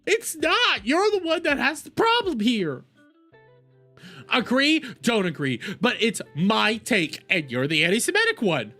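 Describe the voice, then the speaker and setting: Male reaction host, high and bright voice, exasperated, mocking rant with emphatic repetition. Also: huge pitch swings, measured pace, faint music behind. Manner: argumentative, exasperated, mocking, repeats phrases for emphasis. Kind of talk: heated opinion commentary, casual rant.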